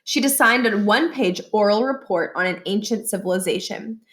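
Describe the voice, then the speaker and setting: A woman's voice: mid-range voice, brisk, a bit bright. A woman reads a children's book aloud in a storytelling style and acts out the dialogue. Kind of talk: read-aloud storytelling with brief explanatory asides.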